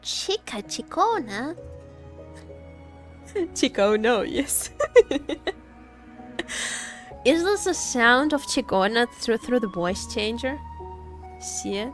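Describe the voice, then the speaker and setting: Slow-talking woman streamer. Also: on the high side, lively intonation. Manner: playful, repeats words and phrases. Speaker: game livestreamer. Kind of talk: casual reaction commentary to chat while gaming. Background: faint game music.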